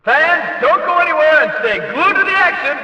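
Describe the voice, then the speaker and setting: A man playing an excitable, bombastic demolition derby announcer in a video game, calling events like a showman over a reverberant stadium PA. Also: exaggerated voice. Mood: excited, urging. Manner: booming showman delivery with drawn-out event names. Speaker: race announcer. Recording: muffled echoing stadium PA, distant.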